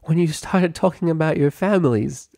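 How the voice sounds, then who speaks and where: A man voicing a gentle, emotional young pony mother, soft and hesitant, in a story reading. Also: a little high, normal speed, creaky, pitched above the performer's own voice. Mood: tearful.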